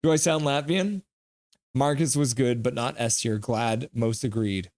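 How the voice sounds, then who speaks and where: A man hosting a live hockey stream, reading chat comments aloud with loose jokes and breaking into quick play-by-play. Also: medium pitch, a little mellow.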